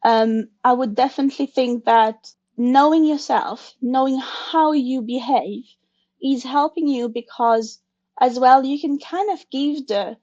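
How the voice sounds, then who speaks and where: A woman's voice: high-pitched, unhurried, darkish tone. French woman, muffled thoughtful speech. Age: 40s. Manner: thoughtful, hesitant, with restarts and non-native phrasing. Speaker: guest. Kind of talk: conversational interview.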